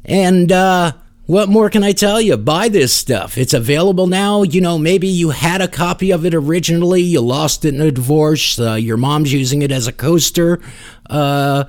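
A man's voice: fairly high pitch, moderate pace, a little mellow. A man hosting a music podcast in a casual, rambling way with wry asides. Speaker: podcast host.

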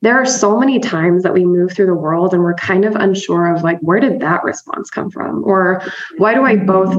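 A woman therapist and trainer giving an upbeat, conversational explanation as an interview guest, laughing often and checking in with "right?". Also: medium pitch. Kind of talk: casual explanatory interview talk. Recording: roomy, slightly dull remote audio.

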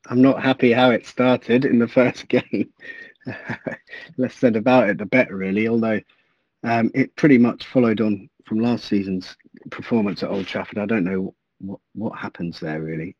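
A man's voice: on the low side, dry recording. Man, podcast guest, hesitant, stammering, dry dull lo-fi sound. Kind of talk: casual podcast chat.

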